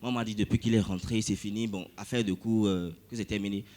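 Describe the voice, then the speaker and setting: A man with a West African French accent telling a church testimony, animated and full of hesitations. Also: fairly low pitch, fast. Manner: animated storytelling with frequent hesitations. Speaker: church member giving testimony.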